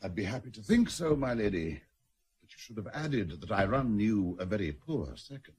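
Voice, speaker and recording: An actor in a radio drama playing a suave, drily witty aristocratic diplomat, formal and flattering. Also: male voice, on the low side, normal speed, exaggerated voice. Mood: wry. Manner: smooth-tongued, formal, flattering.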